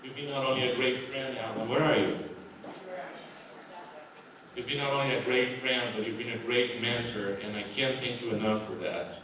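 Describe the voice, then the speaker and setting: A man's voice: medium pitch, steady tone, recorded from a distance, noisy background. Man giving a speech in an echoey hall. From Brazil. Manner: earnest, heartfelt, measured. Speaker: award honoree. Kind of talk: acceptance speech. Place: large hall. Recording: very echoey hall, mic from afar.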